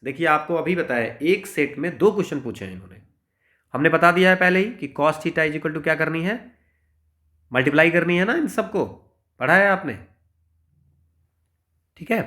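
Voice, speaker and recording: A man with an Indian accent and a fairly high-pitched voice gives an informal maths lesson. He works through each problem step by step, explaining as he goes and often adding little tags to check that the listener follows. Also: animated, moderate pace, pauses often, pushed and bright. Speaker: maths teacher.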